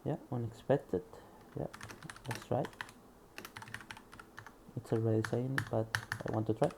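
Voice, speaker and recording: A man's voice: medium pitch, fairly even intonation, unhurried, creaky, noisy background. A man with a Spanish accent reads a programming book aloud and mutters to himself as he tries things out. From Spain. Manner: reads passages aloud, then muses quietly to himself. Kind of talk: casual screen-recorded reading and coding.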